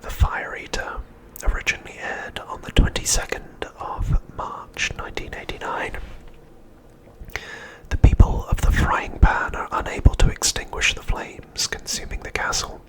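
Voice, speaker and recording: A man narrating an ASMR recap in a soft, breathy, unvoiced whisper, hushed and unhurried. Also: low-quality recording. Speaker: ASMR host.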